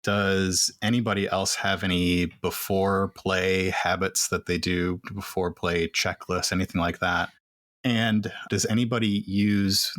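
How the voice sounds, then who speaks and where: Low voiced guy hosting a podcast roundtable, talks in long run-on questions, dry mic. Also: a bit bright, no room sound. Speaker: podcast host moderating a round table. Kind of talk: casual panel discussion.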